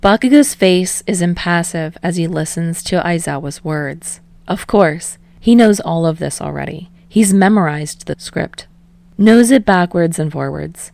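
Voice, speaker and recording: A woman's voice: lowish voice, unhurried, vocal fry, a little mellow, no echo. A woman reading scripted fiction narration as an audiobook narrator.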